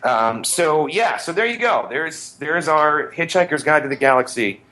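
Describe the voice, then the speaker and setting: A man's voice: a little high, expressive, normal speed, sharp tone, poor audio. A man co-hosting a podcast, chatting casually in a rambling, musing way and circling back on his phrases. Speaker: podcast co-host. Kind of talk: casual pop-culture chat.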